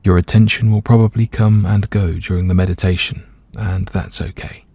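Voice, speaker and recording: A man gently and calmly leading a scripted guided meditation, letting his voice soften at the ends of phrases. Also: low-pitched, normal speed, breathy edge, no room sound. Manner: gentle, calm, soothing, hushed at phrase ends.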